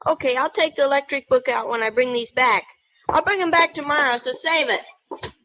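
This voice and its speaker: Lady doing a squeaky eager little boy, blunt kid talk, old radio play sound, kinda dull. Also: normal speed, natural voice. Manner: blunt, matter-of-fact, slangy kid talk. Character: eager young boy library patron. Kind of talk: 1940s radio acting. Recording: dull 1940s radio broadcast recording.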